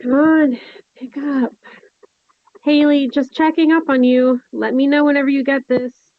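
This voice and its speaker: A woman's voice: high-pitched, level tone, a little slow, dry recording. A woman playing a concerned young woman, a casual but worried friend, in a horror script table read. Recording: thin, phone-like microphone sound.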